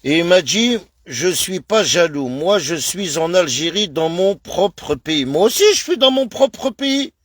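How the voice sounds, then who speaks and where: A man's voice: fairly high pitch, lively intonation, unhurried, hardly any pauses, no echo, studio quality. A man from France talking in a casual live stream, speaking emphatically and repetitively, calling his viewers brother.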